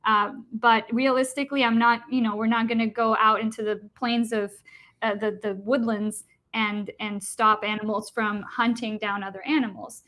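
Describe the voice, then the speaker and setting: Earnest woman from Costa Rica, higher voice. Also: little pitch movement, normal speed, a bit bright. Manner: earnest, explanatory, with frequent fillers. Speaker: vegan debater. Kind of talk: online debate.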